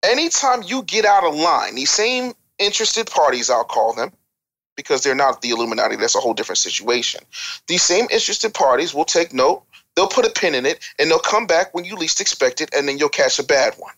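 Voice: medium pitch, very animated, a little fast, a bit bright. A panelist in an opinionated music-industry discussion, speaking emphatically like a lecturer and throwing out rhetorical questions. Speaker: talk show panelist.

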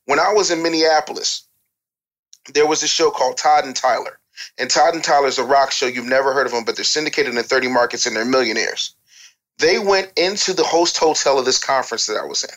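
A man's voice: medium pitch, fairly quick, a bit bright, poor audio. A man giving candid advice and telling anecdotes as a guest expert, speaking bluntly and emphatically with casual swearing. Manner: blunt, emphatic, profane, lecturing. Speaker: guest expert on music business and promotion.

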